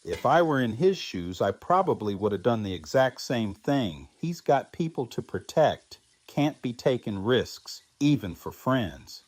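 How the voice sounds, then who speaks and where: A man playing a calm, firm pacifist in an audio drama, speaking in a measured, earnest way. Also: mid-range voice, dry recording. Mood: wry, accepting.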